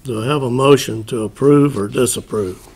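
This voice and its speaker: A man chairing a formal board meeting, speaking in a curt, halting way. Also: medium pitch, a little mellow, husky. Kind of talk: formal public meeting procedure. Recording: public meeting room recording.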